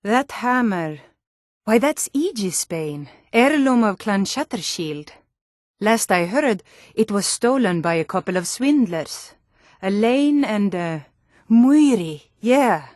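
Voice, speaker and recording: A woman's voice: mid-range voice, expressive, slow, a little mellow, dry recording. Blunt, tough Nord woman guard, dry recording. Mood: casual, wry. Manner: blunt, gruff, challenging, wry. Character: tough, suspicious female Nord city guard. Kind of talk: fantasy video game character barks.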